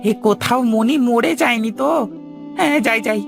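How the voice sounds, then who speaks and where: A woman's voice: a little high, normal speed, vocal fry, over music. A woman voicing an obedient but sly male servant in a cartoon, deferential and scheming. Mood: panicked. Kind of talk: cartoon voice acting.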